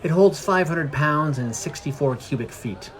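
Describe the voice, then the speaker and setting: A man's voice: fairly high pitch, animated, moderate pace, faint music behind, close to an everyday voice, rough recording. Man reading as a blunt, serious guard captain. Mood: explaining, dry. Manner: blunt, matter-of-fact. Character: serious, businesslike forty-year-old human guard captain. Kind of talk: fantasy story dialogue.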